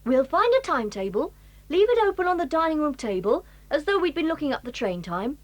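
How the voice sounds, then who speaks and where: A woman's voice: sits high, big pitch swings, a little fast, no room sound. An actress playing a sensible, organised eldest boy who takes charge of the group. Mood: planning.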